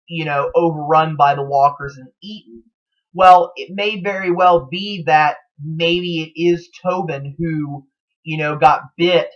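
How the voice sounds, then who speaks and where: Man rambling casually, dull lo-fi recording. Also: on the high side. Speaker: host of a TV show theory vlog. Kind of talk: casual speculative commentary.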